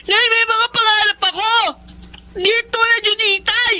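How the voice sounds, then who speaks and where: A woman's voice: extremely high pitch, steady tone, moderate pace, pushed and bright, heavily acted. A woman acting a resentful, ambitious young student from a poor family in a Filipino radio drama, complaining and scornful. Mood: scornful, angry.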